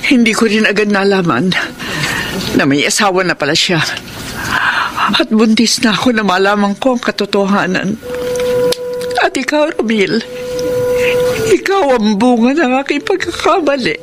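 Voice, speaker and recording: A woman playing a middle-aged mother in a Filipino radio drama, confessing secrets in a solemn, deliberate, sorrowful way. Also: mid-range voice, lively intonation, heavy background noise, natural voice. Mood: solemn, confessional. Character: older mother confessing long-kept secrets, firm and sorrowful. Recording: loud dramatic music behind the voice.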